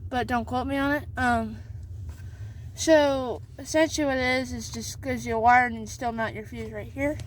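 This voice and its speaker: A woman giving a casual, halting how-to walkthrough in and around a pickup truck, leaning on fillers and repeating herself as she explains. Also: on the high side, fairly even intonation, rough recording. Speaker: video host showing a vehicle setup.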